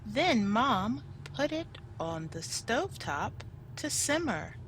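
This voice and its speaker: A woman teacher reading a children's storybook aloud, enunciating deliberately for young listeners. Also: a little high, very animated, a little hiss or hum. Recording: loud music behind the voice. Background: music.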